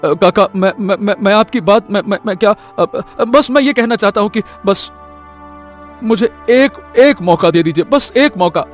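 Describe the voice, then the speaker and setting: A man's voice: sits high, expressive, moderate pace, faint music behind. A man voicing a poor, earnest and anxious young woodcutter in a cartoon story, speaking hesitantly. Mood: pleading. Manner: hesitant, stammering, pleading. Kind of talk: animated story voice acting.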